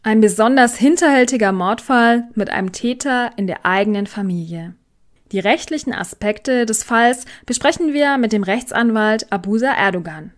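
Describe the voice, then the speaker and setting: Higher-voiced woman hosting a podcast. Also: moderate pace. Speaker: podcast host. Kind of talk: podcast interview presenting.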